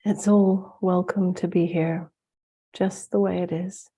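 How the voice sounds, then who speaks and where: Female spiritual teacher, congested from the flu, slow and even, calm guiding delivery on a video call. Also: lowish voice, husky. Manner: slow, soft-spoken, gently guiding. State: congested, getting over the flu. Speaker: spiritual teacher hosting a webinar. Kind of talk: guided meditation and talk.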